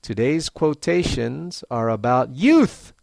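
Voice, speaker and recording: A man hosting a podcast for English learners, speaking slowly and clearly with frequent fillers. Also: mid-range voice, very animated, a little mellow, no echo. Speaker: podcast host and English teacher.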